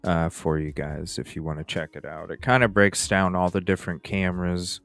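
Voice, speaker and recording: A man's voice: sits low, little pitch movement, moderate pace, a bit bright, no echo, soft background music. A man hosting a true-crime stream, talking casually and conversationally in a rambling way, repeating himself for emphasis. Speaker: host of a true-crime livestream. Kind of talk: casual case discussion.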